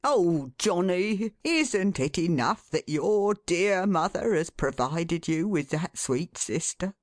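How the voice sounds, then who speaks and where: A reader voicing a flustered, harried father of a large poor family who scolds in exasperation, then softens. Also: medium pitch, expressive, slow pace, dry recording, studio quality, pitched above the performer's own voice. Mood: pleading. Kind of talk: audiobook character voice.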